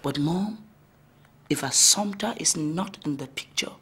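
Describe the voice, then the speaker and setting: A man's voice: fairly high pitch, unhurried, a bit bright. An actor playing a headstrong grown son, pleading and defiant with his mother in a melodrama. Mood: insistent. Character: headstrong young man, grown son in love. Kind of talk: melodrama acting.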